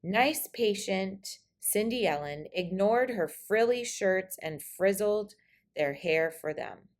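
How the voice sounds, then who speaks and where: Woman, children's read-aloud, slow pace, very lively intonation, dramatic storytelling. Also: medium pitch. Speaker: storybook reader.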